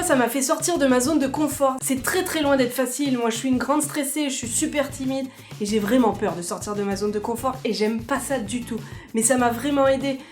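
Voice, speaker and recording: High-voiced chatty young woman vlogger. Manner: chatty, self-mocking asides. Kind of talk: casual vlog to camera.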